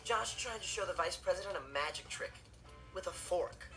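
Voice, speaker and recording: A man's voice: high voice, animated, normal speed. A young male actor playing a laid-back, cocky, girl-crazy teenage boy in a TV comedy, with a casual, offhand delivery over music. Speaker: sitcom character. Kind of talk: scripted comedic monologue to audience.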